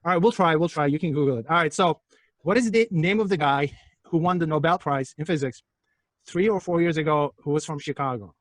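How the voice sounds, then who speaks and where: A man from Turkey lectures a physics class at a rapid clip, his voice sitting a bit high. He jokes, provokes and pelts his students with rhetorical questions. The sound is dry, with no room echo.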